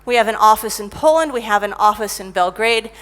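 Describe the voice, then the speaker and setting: A middle-aged woman giving a conference talk about technical writing, lively and self-mocking as she tells stories. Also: mid-range voice, fairly quick. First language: English. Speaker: conference speaker, technical writer.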